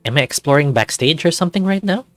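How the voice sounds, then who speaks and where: A man's voice: a little high, lively intonation, fast talker, a bit bright, no room sound, faint music behind, pitched above the performer's own voice. Man playing a chatty, curious young lifeguard. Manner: chatty, inquisitive. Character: friendly, curious young male lifeguard hero.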